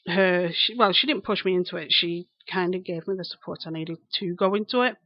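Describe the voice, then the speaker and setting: A woman's voice: on the low side, a little fast, dry recording, muffled recording. A woman in her thirties with a broad Lancashire accent, chatting casually on a live stream, greeting viewers and repeating herself as she rambles. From England. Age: 30s.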